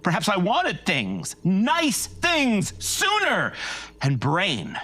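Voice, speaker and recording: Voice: mid-range voice, huge pitch swings, drawn-out words, sharp tone, full character voice. An actor playing a vain, grandiose male starship captain from the future in a live audio drama, with a commanding, theatrical manner. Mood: grandiose, defensive. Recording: live stage recording with music.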